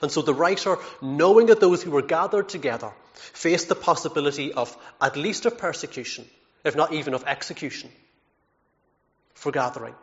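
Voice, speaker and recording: A man's voice: fairly high pitch, dull audio. A man preaching a sermon in an earnest, emphatic way, with self-deprecating asides and frequent repeated words.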